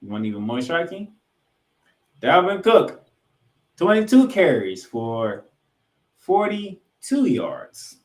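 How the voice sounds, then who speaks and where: A man's voice: a little high, big pitch swings, takes it slowly, pauses often. A man hosting a sports podcast, giving recap commentary in an emphatic way and repeating points for effect. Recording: roomy, echoing room sound.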